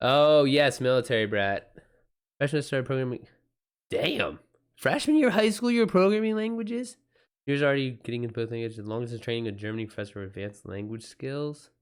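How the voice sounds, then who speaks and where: A man talks casually as he hosts a live stream, reading and reacting to his chat. His mid-range voice moves up and down in a very lively way. He is informal, exclamatory and quick to swear. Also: mid-range voice, clear, resonant tone.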